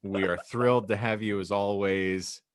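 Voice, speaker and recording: A man's voice: on the low side, steady tone, normal speed, dry recording, clean studio sound. A man hosting a casual comedy podcast, bantering and speechifying with mock-solemn grandeur. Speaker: podcast host.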